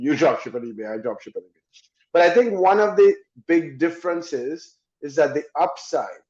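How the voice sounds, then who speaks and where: Chatty host, echoey lo-fi sound. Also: male voice, a little high, a little mellow. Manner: eager, chatty, rambling with restarts. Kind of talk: casual interview.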